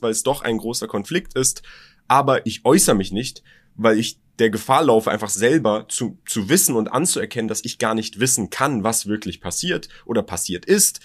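Reflective young German man, casual talk. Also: medium pitch. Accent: German from Berlin. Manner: reflective, personal, halting restarts. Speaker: podcast co-host. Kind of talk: casual podcast conversation.

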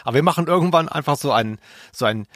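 A man's voice: fairly high pitch, expressive, racing, fairly bright tone, dry recording. Wry male podcaster, dry close sound. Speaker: podcast co-host. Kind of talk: casual, ironic podcast chat.

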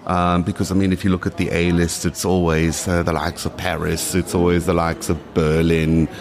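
A man's voice: low-pitched, little pitch movement, moderate pace, crowd noise. A man in his late forties with a South African accent, chatting in a casual interview and repeating phrases for emphasis. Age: late 40s. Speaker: podcast guest.

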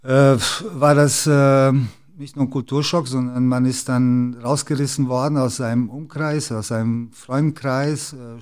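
A man's voice: medium pitch, darkish tone, clean tone. Hesitant man from former Yugoslavia. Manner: hesitant, many fillers and restarts. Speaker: interview guest, basketball club executive. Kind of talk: casual interview talk.

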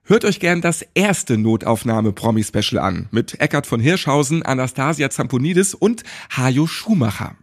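A man's voice: medium pitch, animated, normal speed, few pauses. A man hosting a podcast casually, chatty and wry in his way of talking. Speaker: podcast host.

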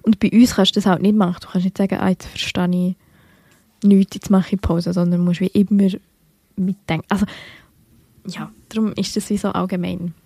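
A woman's voice: medium pitch, little pitch movement, normal speed, dark tone, breathy edge, no echo. A young woman from Switzerland with a Bernese Swiss German accent, co-hosting a podcast in casual, chatty talk full of fillers and self-corrections. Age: young adult. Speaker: podcast co-host. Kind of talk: casual literary podcast chat.

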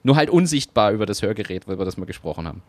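A man's voice: fairly low pitch, big pitch swings, rapid-fire, darkish tone, dry recording. A man co-hosting a casual podcast chat, brainstorming ideas with enthusiasm. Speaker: podcast co-host.

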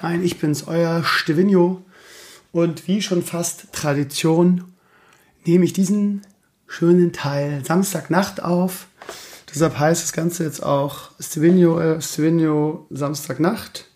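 A hoarse man hosting his own podcast, chatting casually in a rambling, self-mocking way and grumbling about his week. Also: fairly high pitch, fairly even intonation, slow, darkish tone. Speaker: podcast host. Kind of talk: casual chat.

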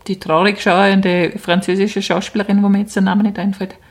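A woman's voice: mid-range voice, little pitch movement, normal speed. Female podcast co-host and improviser, Austrian accent, mid-range voice, casual and hesitant phrasing. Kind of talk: casual improvised comedy chat.

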